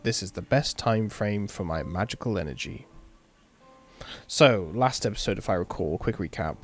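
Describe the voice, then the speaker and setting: Low-voiced gamer guy, tired. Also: animated, moderate pace, soft background music. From England. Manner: informal, laid-back. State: sore throat, tired. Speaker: gaming video host. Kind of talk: casual let's-play commentary and reading aloud.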